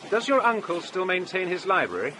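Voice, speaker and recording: An actor playing a bookish, diffident young student with an educated English accent, speaking politely, formally and a little hesitantly in a radio drama. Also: male voice, high-pitched, very animated, fairly quick, noisy background. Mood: polite, reserved. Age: young adult.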